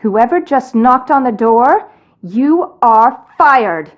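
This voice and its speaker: Bossy woman, very high voice, swooping intonation, exasperated, high-strung, game character, muffled audio. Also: expressive, slow pace. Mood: irritated. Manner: exasperated, emphatic, commanding. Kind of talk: video game voice acting.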